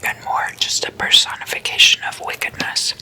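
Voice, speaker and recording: A woman hosting ASMR, whispering a scripted explainer in a breathy, deliberate way with measured pauses between phrases. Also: low-quality recording. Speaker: ASMR host.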